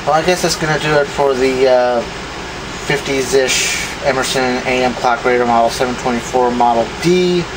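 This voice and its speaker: Male hobbyist video host, mid-pitched, casual and rambling, over a clearly audible background hum. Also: normal speed. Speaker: video host showing vintage electronics. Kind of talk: casual unscripted demonstration.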